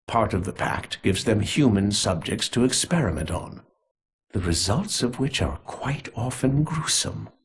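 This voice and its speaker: A sinister conspirator from a video game. His voice is low and heavily rasped. He gloats with deliberate, theatrical relish, smug and gleefully cruel. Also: big pitch swings, exaggerated voice. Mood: morbid delight. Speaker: villain character in a video game. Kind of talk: scripted villain monologue.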